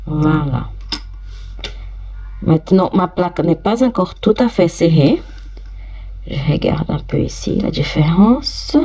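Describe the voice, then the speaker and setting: A woman with a cold gives a slow, careful demonstration, explaining each step as she works. Her voice is stuffy, low-toned and gravelly. The sound is dull and lo-fi. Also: expressive, mellow. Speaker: sewing machine instructor.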